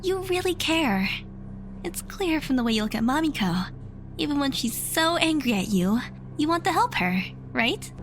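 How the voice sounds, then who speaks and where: A woman playing an earnest, hopeful, caring young woman in an audio drama, sincere and gently insistent. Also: high voice, very animated, moderate pace, a little hiss or hum. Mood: gentle, hopeful.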